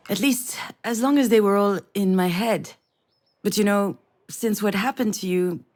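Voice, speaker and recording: An actress playing a grieving, self-reproaching woman in a video game drama, speaking in an earnest, reflective, halting way. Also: medium pitch, a little mellow. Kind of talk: dramatic visual novel voice acting with inner narration.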